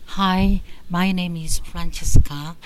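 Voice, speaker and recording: Woman, super slow hesitant english, deep voice, noisy room. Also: fairly even intonation. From Korea. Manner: hesitant, pausing. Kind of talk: self-introduction in class.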